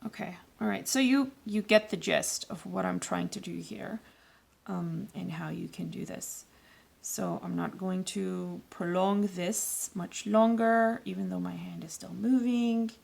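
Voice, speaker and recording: Woman, raspy today, heavy vocal fry, unhurried, casual painting tutorial, talks while she paints. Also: lowish voice, a little mellow. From Canada. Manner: loose, rambling, self-correcting instruction. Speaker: watercolour tutor.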